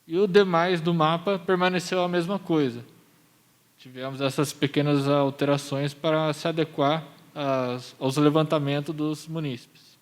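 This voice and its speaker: A man with a Brazilian accent and a slightly high voice speaks as a municipal planning presenter. He explains things in a measured way, as at a formal public hearing. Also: flat delivery, clean tone.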